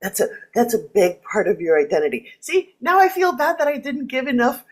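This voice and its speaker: A woman whose first language is Spanish, chatting casually and playfully as a leadership coach. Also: husky. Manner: lively, playful, quick jumps in pitch. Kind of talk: casual chat.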